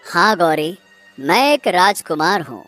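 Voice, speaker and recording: A man's voice: high voice, sing-song, slow, bright, forceful tone, faint music behind, full character voice. A man voicing a proud, wronged young prince in a cartoon fairy tale, speaking emphatically. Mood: indignant.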